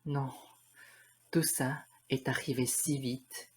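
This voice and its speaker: A man's voice: mid-range voice, level tone, slow pace, husky. A man playing an earnest, lovestruck young prince in a French radio play, speaking in solemn declarations. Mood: earnest, smitten.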